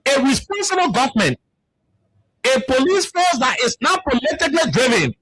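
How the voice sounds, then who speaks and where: A Liberian man hosting a radio talk show, with a notably high voice and a Liberian English accent. He talks emphatically and stumbles through repeated words as he builds his argument. The studio sound is dry and close. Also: big pitch swings, normal speed, a bit bright, no room sound. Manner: emphatic, repeats words while searching for them. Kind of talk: heated political commentary.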